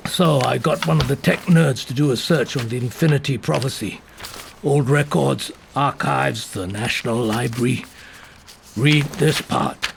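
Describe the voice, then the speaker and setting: An actor playing a tenacious older police investigator in an audio drama, dry, blunt and probing, with a very gravelly voice. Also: male voice, medium pitch, unhurried, noisy background. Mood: businesslike. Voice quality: gravelly, creaky. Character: tenacious, gruff old male police investigator. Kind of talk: scripted audio drama acting. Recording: voice over prominent music bed. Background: dramatic music.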